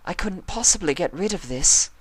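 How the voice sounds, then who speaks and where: A refined young mare pony speaks softly and pleadingly, as a man reading a storybook puts her on. The voice sits noticeably higher than his own. The sound is dry and close. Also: animated, normal speed, husky, no room sound. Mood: remorseful. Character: refined, remorseful female unicorn pony, young adult.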